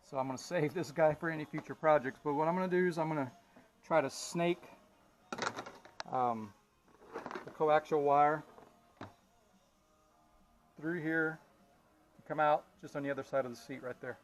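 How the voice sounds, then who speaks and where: A man giving a casual DIY tutorial as he works, thinking aloud in an informal, halting way. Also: on the high side, fairly even intonation. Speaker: DIY car vlogger. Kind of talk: casual hands-on installation tutorial, talking while working.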